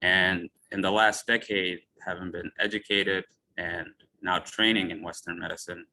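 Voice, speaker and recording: A physician from Ethiopia gives a lecture in a deep, bright and forceful voice. He talks in a measured way and leans on personal storytelling. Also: fairly even intonation, rough recording. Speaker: physician speaker. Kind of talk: lecture talk.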